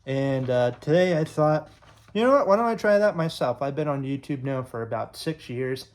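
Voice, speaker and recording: Young guy from illinois, chatty vlog ramble, lots of uhs, mid pitch voice. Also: expressive, normal speed. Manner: rambling, many fillers and restarts. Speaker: YouTube sports creator. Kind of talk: casual talk to camera.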